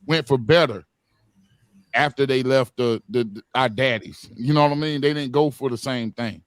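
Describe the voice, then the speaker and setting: A man's voice: mid-range voice, moderate pace, no room sound, studio quality. A man in his forties talking earnestly in a heated group podcast discussion, repeating phrases for emphasis. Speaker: podcast panelist.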